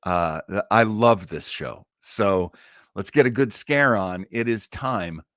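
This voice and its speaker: A man's voice: on the low side, slow, a bit bright, no echo, clean studio sound. A man hosting a listening party in a casual livestream style, informal and enthusiastic.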